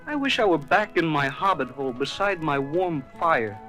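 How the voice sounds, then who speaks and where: A small, timid homebody hobbit in a storybook production. The voice is high and swoops expressively, fretful and hesitant, over faint music. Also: male voice, expressive, moderate pace, pushed and bright. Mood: grumbling. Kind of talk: children's storybook record acting.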